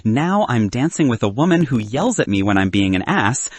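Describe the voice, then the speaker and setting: A man's voice: medium pitch, sing-song, normal speed, no room sound. A man voicing a cynical young best man who is awkwardly sincere and argumentative in a romantic comedy audiobook. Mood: earnest confession. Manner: wry, argumentative, earnest.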